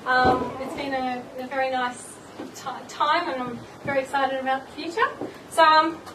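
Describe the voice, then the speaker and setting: A high-voiced woman with a slightly croaky voice reads her engagement speech and a little rhyming poem, nervous and giggly. She sits far from the mic in an echoey room, and loud party noise fills the space around her. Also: fairly even intonation, moderate pace. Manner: nervous, giggly, self-deprecating. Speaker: bride-to-be giving engagement speech.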